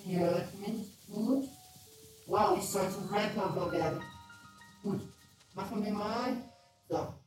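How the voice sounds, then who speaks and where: Very echoey robotic woman, slow-paced. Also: on the low side, darkish tone. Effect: robot voice changer. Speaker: gaming streamer. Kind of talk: casual gameplay commentary. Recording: very echoey, distant-sounding voice.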